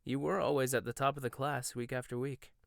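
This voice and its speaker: A man's voice: medium pitch, little pitch movement, a little fast, vocal fry, no room sound, clean studio sound, lightly acted. A man playing a prickly rival chef. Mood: grudging. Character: proud, prickly young male chef rival. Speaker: voice actor. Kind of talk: boyfriend ASMR roleplay.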